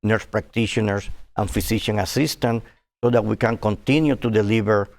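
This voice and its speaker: A man in his sixties from Cuba, speaking as a physician guest in a TV interview, earnest and halting with many fillers. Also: on the low side, fairly even intonation, creaky, a little mellow.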